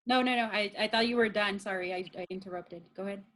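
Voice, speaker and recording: A woman moderating a video meeting, upbeat and brisk, calling on people by name. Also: medium pitch, fairly even intonation, a bit bright, rough recording. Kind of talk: casual video call moderating. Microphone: video call.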